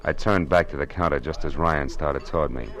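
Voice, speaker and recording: A wry, laconic hardboiled private eye in a 1950s radio play. The voice is very low and deep, and a faint music bed runs under it. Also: male voice, brisk, fairly bright tone. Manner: dry, wisecracking, laconic. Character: hardboiled male private detective, wry and cool. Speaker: narrator and lead.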